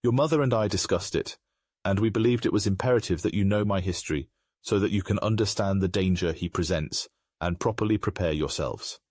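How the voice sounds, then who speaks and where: A man playing a grave, remorseful aristocratic father in a comic dub, speaking in a formal, measured way. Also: sits low, a little mellow, no echo, clean studio sound. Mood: solemn, remorseful.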